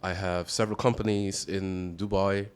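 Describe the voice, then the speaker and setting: A man's voice: low voice, moderate pace, clean tone. A man from Austria, a strategic advisor, talking casually as a podcast guest and acting out voices in his stories. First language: German. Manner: animated storyteller, acts out voices in anecdotes.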